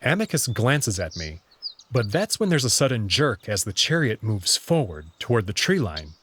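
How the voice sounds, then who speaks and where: Lively male first-person story narrator. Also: lowish voice, normal speed, slight background noise. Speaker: narrator and reader of the player character.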